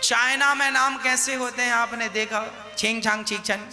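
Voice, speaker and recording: A man's voice: extremely high pitch, fairly even intonation, normal speed, pushed and bright, in a large hall, soft background music. A man preaching a devotional sermon, scolding and questioning his listeners with rhetorical questions.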